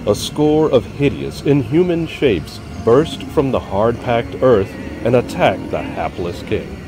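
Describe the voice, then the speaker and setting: A man narrating a horror comic in a slow, dramatic storytelling style. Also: mid-range voice, expressive, music in the background.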